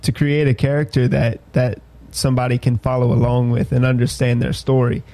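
A man's voice: mid-range voice, flat delivery, mellow, dry recording. A man in casual conversation, speaking hesitantly about his writing struggles. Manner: hesitant, halting. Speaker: aspiring writer asking for advice. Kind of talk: casual chat.

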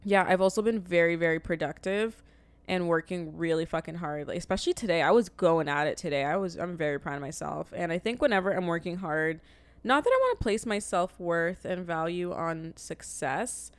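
A woman's voice: lowish voice, fairly quick, smooth, no room sound. A woman co-hosting a casual podcast chat, direct and teasing, sometimes reflective. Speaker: podcast co-host.

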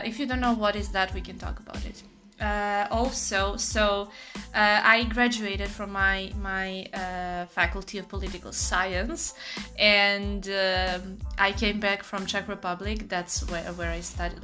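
A woman's voice: medium pitch, steady tone, measured pace, sharp tone, soft background music. A young woman, co-hosting a casual podcast chat in a living room, laughing often and filling her talk with fillers. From Serbia. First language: Serbian. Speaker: podcast co-host.

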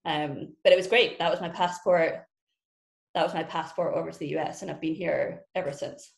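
A woman's voice: low voice, steady tone, moderate pace, creaky. A Scottish woman in her thirties, a podcast guest chatting casually with a witty, teasing, blunt manner. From Scotland. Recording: roomy echo.

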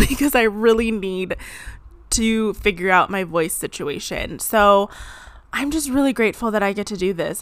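A woman's voice: medium pitch, a bit bright, dry recording. Woman, podcast host, casual rambling chat, a bit strained, dry close recording. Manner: rambling, chatty, frequent "like" and laughs. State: slightly strained voice. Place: home, seated.

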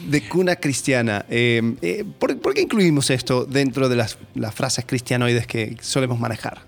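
A man in a casual conversation on a church talk show. He speaks at an ordinary middle pitch, often hesitating and restarting, and he slips in dry, wry remarks. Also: normal speed, vocal fry, soft background music. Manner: hesitant, many fillers and restarts, wry humor. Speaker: co-host.

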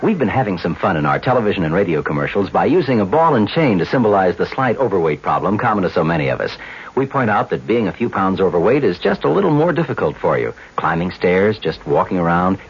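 A slightly muffled old radio recording of a man with a very low, animated voice. He is a commercial spokesman reading scripted ad copy in a conversational manner. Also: brisk, husky. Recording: dull old radio broadcast.

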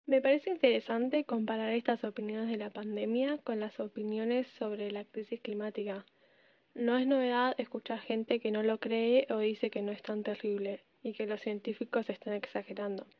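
A woman's voice: a little high, fairly even intonation, moderate pace, no room sound, lo-fi sound. A teenage young woman with an Argentine accent, explaining her views as a podcast co-host. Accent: Argentine Spanish. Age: teens, secondary school student. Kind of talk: conversational opinion podcast.

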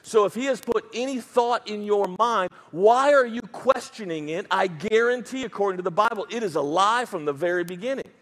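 A man's voice: high-pitched, fairly quick, few pauses, a bit bright. A man with a Southern US accent preaching a sermon as pastor, emphatic and dropping joking asides.

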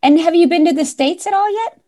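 A middle-aged woman hosting a podcast interview, eager and chatty with quick interjections. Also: extremely high pitch, mellow. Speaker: podcast host, modeling scout.

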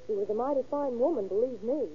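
A woman's voice: fairly high pitch, fast talker, close to an everyday voice. An actress playing a talkative, sympathetic small-town neighbor woman in an old-time radio drama. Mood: wistful, chatty. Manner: folksy, talkative. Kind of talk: 1930s-1940s radio acting. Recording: muffled old radio recording.

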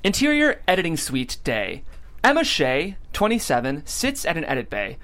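A man's voice: on the high side, wildly swooping pitch, moderate pace, fairly bright tone. A man, hosting and narrating a script read in an upbeat way.

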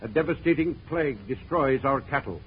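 Actor as formal government adviser, animated delivery. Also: male voice, medium pitch, brisk, lightly acted. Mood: grave. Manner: formal, oratorical. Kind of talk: old-time radio drama acting. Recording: muffled old radio recording, faint noise.

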